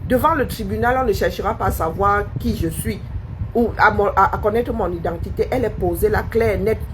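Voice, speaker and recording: A woman's voice: medium pitch, a little fast, background noise. A woman live-streaming a scornful monologue, firing challenging rhetorical questions at a critic who commented.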